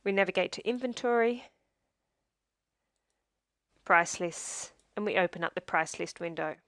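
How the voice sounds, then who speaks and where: A woman narrating a scripted software tutorial as a demonstrator, in a measured, step-by-step instructional way. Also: fairly low pitch, no echo, studio quality.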